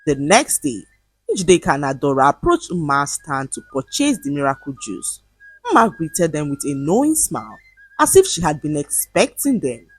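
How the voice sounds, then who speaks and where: Lady telling a folktale, really animated swoopy pitch, a bit of husk, dramatic, music behind her. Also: normal speed, breathy edge, dry recording. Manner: expressive, dramatic storytelling. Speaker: storyteller, narrator. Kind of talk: scripted folktale narration.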